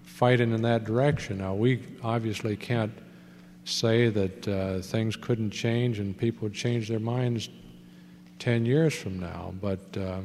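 A man, an airport official, answering questions at a public hearing in a measured, hesitant way. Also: lowish voice, fairly even intonation, darkish tone. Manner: measured, hesitant, with fillers and restarts. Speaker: port authority official. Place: large meeting hall. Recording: echoey hall with faint hum.

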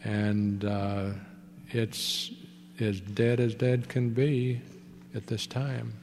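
A man, an airport official, answering questions at a public hearing in a measured, hesitant way. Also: on the low side, flat delivery, a little mellow. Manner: measured, hesitant, with fillers and restarts. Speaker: port authority official. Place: large meeting hall. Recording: echoey hall with faint hum.

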